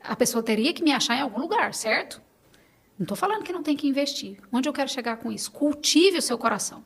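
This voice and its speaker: Brazilian woman on a podcast, kinda high voice, earnest and emphatic, like giving heartfelt advice. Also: lively intonation, quick pace, breathy edge. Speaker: podcast guest. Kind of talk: conversational interview, personal testimony.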